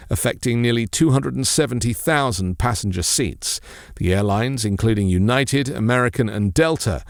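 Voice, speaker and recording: A man's voice: medium pitch, no echo. Formal male news narrator, dry sound. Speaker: news presenter.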